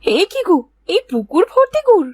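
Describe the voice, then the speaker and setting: A woman's voice: very high voice, sing-song, moderate pace, a little mellow, dry recording, studio quality. A woman voicing a curious, supportive village wife in a children's cartoon story. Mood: surprised.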